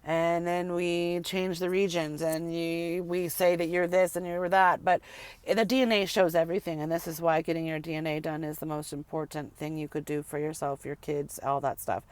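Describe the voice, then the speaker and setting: Rambling emphatic woman, smooth voice. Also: low voice, little pitch movement, normal speed, no echo. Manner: rambling, emphatic, repeats words for effect. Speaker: vlogger. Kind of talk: casual rant to camera.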